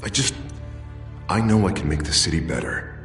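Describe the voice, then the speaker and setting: A man's voice: sits low, normal speed, fairly bright tone. A man playing an idealistic, vulnerable politician in a video game, sincere and hesitant. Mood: earnest. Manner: hesitant, heartfelt. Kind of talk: dramatic video game acting. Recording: distant, echoey hall, faint music.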